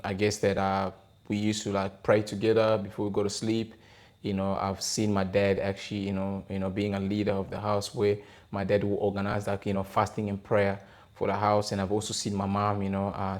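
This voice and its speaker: A man's voice: lowish voice, completely flat delivery, brisk, darkish tone. A man in his thirties from the Democratic Republic of Congo, answering interview questions in a hesitant way, with many fillers and restarts. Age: 30s. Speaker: podcast guest, gospel rapper. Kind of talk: casual interview conversation.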